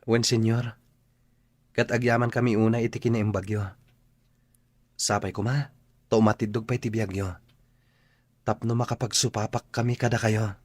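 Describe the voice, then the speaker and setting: An actor with an Ilocano accent playing an earnest, grateful young son in a radio drama. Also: male voice, lowish voice, flat delivery, normal speed, long pauses, darkish tone, dry recording, lightly acted. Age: young adult.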